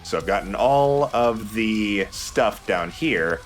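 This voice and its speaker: A man commentates casually over a video game as the host. His voice sits somewhat low. Game music plays softly behind him. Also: lively intonation, takes it slowly. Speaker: let's play host.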